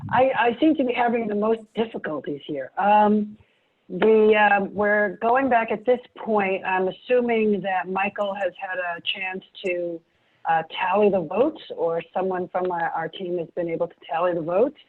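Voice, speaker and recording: A man on a video call who speaks hesitantly, pausing and restarting as he asks about the vote. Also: high-pitched. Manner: hesitant, halting. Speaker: board member on a meeting call. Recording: tinny phone-like call audio.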